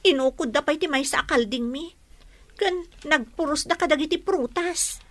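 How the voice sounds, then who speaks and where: A woman's voice: high voice, normal speed, a bit bright, no echo. A woman playing a worried young village daughter with an Ilocano accent, confiding earnestly in a radio drama. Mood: worried, indignant.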